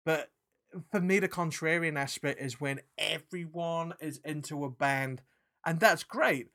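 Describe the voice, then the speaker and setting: A man with a British accent mixed with New Zealand and South African traces, co-hosting a podcast in animated, sweary casual chat. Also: on the high side, a little slow. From England. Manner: animated, swearing, trailing restarts. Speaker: podcast co-host.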